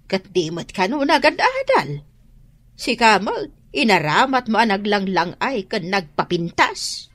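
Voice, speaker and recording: A woman's voice: mid-range voice, sing-song, unhurried, a bit bright, no room sound. An actress playing a stern, scolding older mother in a radio drama.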